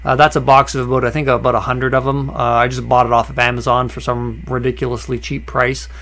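A man explaining a woodworking project in a relaxed, conversational voice-over, with frequent "uh" fillers and small restarts. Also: medium pitch, fast talker, a little hiss or hum. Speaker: woodworking channel host. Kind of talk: voice-over narration of a workshop project.

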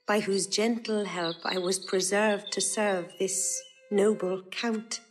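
A woman's voice: medium pitch, lightly acted. A woman playing a sincere young noblewoman disguised as a page, in lyrical classical Shakespearean style. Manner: solemn, lyrical verse delivery. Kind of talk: classical Shakespearean stage acting.

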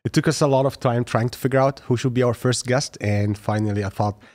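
A young man hosting a podcast interview in a casual, friendly way, laughing easily, on a boom mic in a studio. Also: fairly even intonation, fast talker, a little mellow. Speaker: podcast host. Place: studio room with plants. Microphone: boom mic with headphones.

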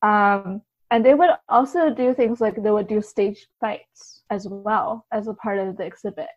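A woman speaking as an interview guest, casually recounting experiences in a halting, think-aloud way. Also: medium pitch, a little mellow, no echo, dull audio. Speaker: podcast guest, writer and translator.

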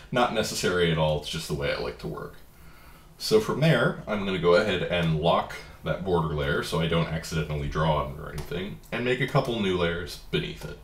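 Deep-voiced man with a stuffy head cold. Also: reverberant. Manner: relaxed, plain step-by-step explaining. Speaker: comic artist giving a software tutorial. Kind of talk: screen-recorded software walkthrough.

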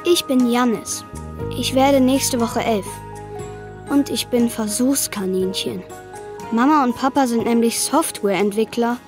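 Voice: mid-range voice, a little slow, music in the background, lightly acted. A young performer playing an exasperated ten-year-old boy in a children's radio play, grumbling casually. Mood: fed-up confiding. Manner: casual, grumbling, youthful slang.

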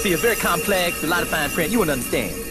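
An actor playing a tiny cocky guardian dragon in a cartoonish video game, boastful, wheedling and excitable. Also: male voice, on the high side, quick pace, over music, lightly acted. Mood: flustered, evasive.

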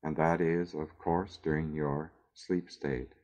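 Really low voiced guy doing guided meditation, super slow n soothing, lots of pauses, kinda muffled lo-fi audio. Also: flat delivery, darkish tone. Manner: measured, soothing delivery with long pauses.

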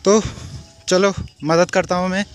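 A man's voice: sits high, flat delivery, measured pace. A young man from the hills of northern India, narrating a casual travel vlog on a phone held at arm's length outdoors and in a car, talking chattily to viewers. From Uttarakhand hills, India. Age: young adult. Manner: chatty, addresses viewers directly. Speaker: vlogger. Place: outdoors on hill roads and in a car. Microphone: handheld phone camera at arm's length.

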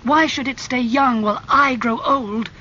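A vain, impassioned young man, as an audiobook reader plays him, his voice raised and swinging widely as he pleads. The sound is slightly muffled over a faint rumble. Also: sing-song, normal speed, pushed and bright. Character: beautiful young man, vain and desperate about aging.

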